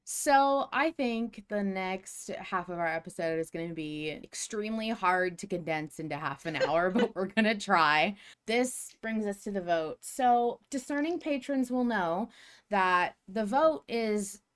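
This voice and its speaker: A woman hosting a podcast, chatting and explaining in an informal, playful, expressive way. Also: mid-range voice, measured pace, clean tone. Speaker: podcast host. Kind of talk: casual fan chat and explanation.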